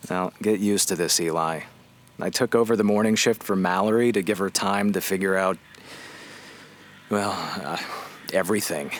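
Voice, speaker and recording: Man acting a low-voiced, sardonic radio morning host. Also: a little hiss or hum, close to an everyday voice. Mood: groggy, sardonic. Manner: dry, sarcastic, halting. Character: groggy, sardonic, self-loathing male radio morning host. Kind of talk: audio drama comedy acting.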